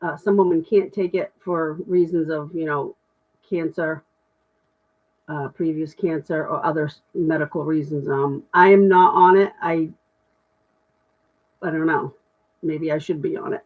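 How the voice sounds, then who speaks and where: Middle-aged woman, deep voice, candid self-deprecating livestream chat, tinny phone-like sound. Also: steady tone, normal speed. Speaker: livestream host. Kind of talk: casual livestream chat reading comments.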